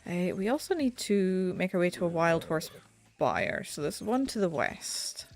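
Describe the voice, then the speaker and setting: A woman's voice: fairly low pitch, very animated, unhurried, gravelly, soft background music. A woman streaming a game, chatting casually and self-deprecatingly while she plays, her voice slightly nasal. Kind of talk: casual gameplay commentary. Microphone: desk mic set back a little.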